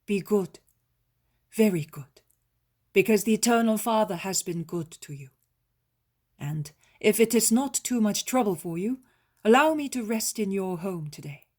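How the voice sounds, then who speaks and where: A woman voicing a calm, solemn male healer. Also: medium pitch, measured pace, long pauses, voice pushed up. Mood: kind, tired.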